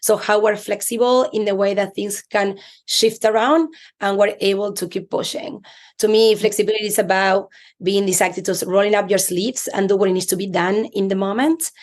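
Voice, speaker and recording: A woman's voice: mid-range voice, fairly even intonation, moderate pace, a little mellow. A woman from Argentina with an Argentine Spanish accent, a native Spanish speaker, talking earnestly and reflectively as an interview guest, with frequent restarts. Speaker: chief people officer, guest. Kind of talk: interview conversation.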